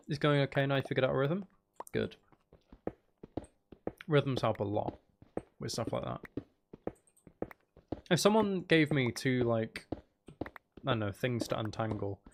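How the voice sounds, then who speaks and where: A man's voice: mid-range voice, creaky, background noise. A man chatting casually and thinking aloud as he hosts a live game stream, talking to his viewers.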